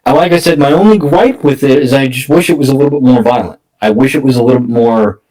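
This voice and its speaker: A man's voice: medium pitch, quick pace, warm tone, rough recording. Man chatting casually about films. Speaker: podcast guest discussing films. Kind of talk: casual film discussion.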